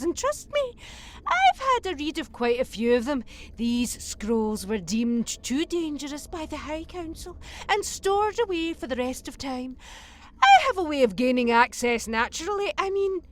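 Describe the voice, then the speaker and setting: A woman playing a gleefully insane, murderous alien villainess in a theatrical fan audio drama, with an arch, flippant manner and dramatic stresses. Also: high-pitched. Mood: gloating.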